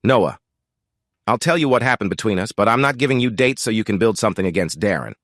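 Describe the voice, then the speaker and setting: A man's voice: fairly low pitch, expressive, quick pace, a bit bright, dry recording, studio quality. A man voicing a guilty, evasive wife in an audio story, terse and guarded. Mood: defensive.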